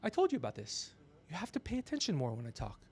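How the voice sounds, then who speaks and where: A gregarious man hosting a podcast, telling rambling comedic stories with animated, teasing asides. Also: on the high side, quick pace, husky. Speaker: podcast host. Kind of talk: rambling comedic storytelling on a livestream.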